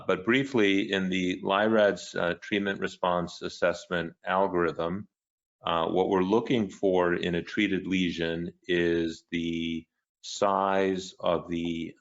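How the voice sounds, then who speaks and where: A man answering a lecture question in a measured way with frequent pauses and fillers over a video call. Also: sits low. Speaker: co-director and lecturer. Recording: dull muffled web call audio.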